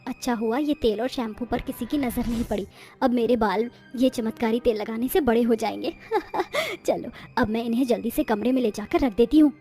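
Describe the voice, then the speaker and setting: A woman's voice: a little high, moderate pace, warm tone, faint music behind, natural voice. A woman playing a meek, naive young daughter-in-law in a cartoon moral story, speaking earnestly and plaintively. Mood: hopeful.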